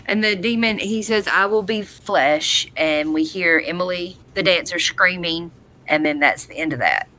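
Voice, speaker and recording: A woman's voice: on the low side, normal speed, bright, forceful tone. A woman co-hosting a podcast, recapping a TV episode in an informal, conversational storytelling way. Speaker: podcast co-host.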